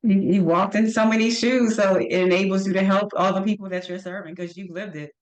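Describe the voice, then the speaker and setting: A woman hosts a podcast interview in a medium-pitched voice. She is warmly affirming, answering her guest with soft words of agreement before she moves on to her questions. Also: fairly even intonation, a little fast. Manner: affirming, soft back-channel responses. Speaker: podcast host. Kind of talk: interview hosting.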